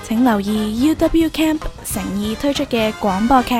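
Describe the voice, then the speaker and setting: A woman's voice: fairly high pitch, animated, unhurried, darkish tone, faint music behind. A woman reading scripted storytelling narration as the narrator of a radio drama.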